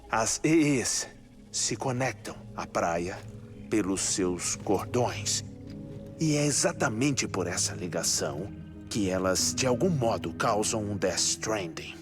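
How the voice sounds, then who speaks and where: A man with a Brazilian accent playing an earnest scientist in a dubbed game cutscene, explaining in a deliberate, lecturing, emphatic way. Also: on the low side. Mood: urgent, intense. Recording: loud music behind voice. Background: cinematic game music.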